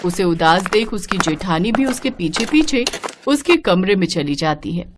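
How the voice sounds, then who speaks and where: Expressive female story narrator. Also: lowish voice, a little slow, background noise. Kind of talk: scripted moral-story narration.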